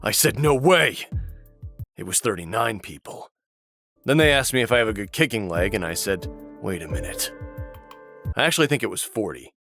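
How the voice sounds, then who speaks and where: A man's voice: fairly low pitch, expressive, moderate pace, pauses often, a bit bright, faint music behind. An actor playing a young male prisoner who deadpans boasts about his crimes in a comedy skit. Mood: smug deadpan. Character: gleeful, unrepentant young male arsonist killer prisoner.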